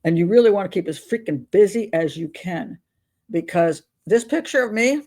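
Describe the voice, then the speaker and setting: A woman's voice: medium pitch, animated, moderate pace, darkish tone. An older woman hosting a casual advice vlog, talking in a chatty, candid, rambling and self-deprecating way. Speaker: advice show host on YouTube.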